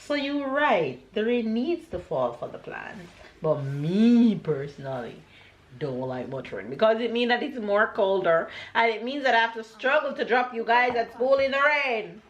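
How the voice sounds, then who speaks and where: A Jamaican woman in her thirties talks through her day in a chatty, rambling vlog. Her voice sits a little high, and the room around her sounds echoey. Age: 30s. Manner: chatty, rambling, laughs often. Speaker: family vlogger. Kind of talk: casual vlog talk.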